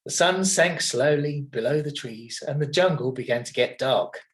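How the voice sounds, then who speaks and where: A man narrating a children's storybook in an expressive, dramatic manner. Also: mid-range voice, normal speed. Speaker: children's story reader at a primary school.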